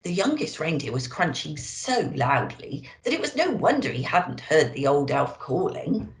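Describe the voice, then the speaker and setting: A woman's voice: low voice, normal speed. A woman reading to children expressively as a storyteller, playing a Christmas elf host. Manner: animated, addressing children. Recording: roomy indoor echo.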